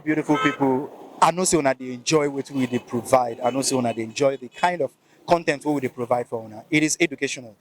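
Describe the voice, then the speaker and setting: A man's voice: mid-range voice, quick pace, a little hiss or hum. A Nigerian man interviewing a local, asking probing questions and repeating answers back, switching into Pidgin and Igbo. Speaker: interviewer and channel host. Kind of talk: field interview, casual questions.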